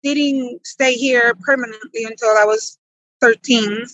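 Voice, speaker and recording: A woman's voice: a little high, fairly even intonation, measured pace, fairly bright tone, dry recording, lo-fi sound. A woman in her thirties from the Republic, answering interview questions conversationally as a farmer guest. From Dominican Republic.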